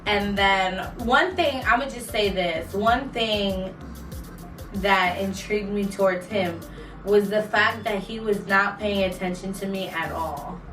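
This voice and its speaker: A woman's voice: mid-range voice, unhurried, fairly bright tone. Chatty young woman, roomy distant sound. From New York and Florida, USA. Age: 20s. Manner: chatty, rambling with many fillers. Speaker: vlog co-host. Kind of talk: casual Q&A chat. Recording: roomy, distant mic, music underneath.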